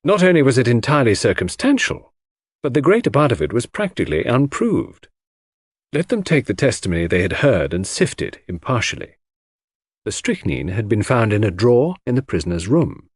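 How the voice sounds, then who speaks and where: Male narrator for classic mystery audiobook: formal, lively intonation, husky tone, dry close sound. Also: mid-range voice, brisk, no room sound. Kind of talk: scripted narration of classic crime fiction.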